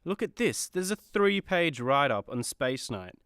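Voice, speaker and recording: A man's voice: on the high side, sing-song, a little slow, fairly bright tone, clean tone, no room sound, studio quality, heavily acted. An actor playing a vain, sulky male superhero in a radio comedy, griping with indignant sarcasm. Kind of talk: comedy radio play acting.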